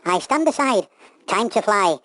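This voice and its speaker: A woman's voice: mid-range voice, expressive, measured pace, a bit bright, dry recording. A woman playing a kindly, magical good fairy who declaims in rhyme in a children's pantomime. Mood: proud, declamatory.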